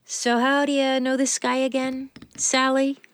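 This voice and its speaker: An actress playing a menacing, mocking female crime boss in an audio drama, with a taunting, theatrical, drawn-out delivery. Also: high-pitched, completely flat delivery. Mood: suspicious, menacing.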